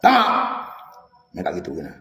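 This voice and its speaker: A man's voice: sits low, wildly swooping pitch, moderate pace, sharp tone. A man chatting casually and insistently on a live stream, often repeating himself. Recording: echoey room, music mixed in. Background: music.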